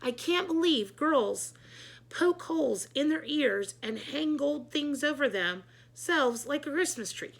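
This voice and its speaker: A woman's voice: high voice, lively intonation, slow, creaky, voice pushed up, full character voice. A woman voicing a cheeky, blunt young schoolboy in a children's storybook, with a sassy, insistent manner. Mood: smug, mocking.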